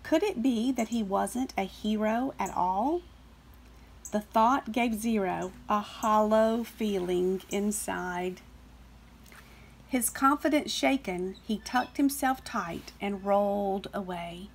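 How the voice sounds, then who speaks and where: A woman reading a children's picture book aloud as expressive scripted narration. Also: mid-range voice, takes it slowly, slight background noise. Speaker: storybook reader.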